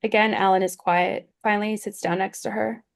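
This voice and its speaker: Mid-pitched woman narrating a screenplay. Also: steady tone, a little fast, vocal fry. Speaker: stage-direction reader.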